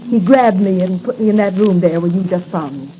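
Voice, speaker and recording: An actress playing a plucky, eager young newspaper reporter in 1940s radio style. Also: female voice, lowish voice, brisk, lightly acted. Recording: muffled noisy 1940 radio recording.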